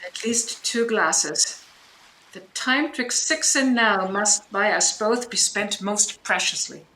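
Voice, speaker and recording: A woman playing a commanding sorceress and mistress of spirits in a Shakespearean audio drama, with a grand, measured, authoritative delivery. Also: mid-range voice, pushed and bright. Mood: businesslike. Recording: roomy remote recording with faint noise.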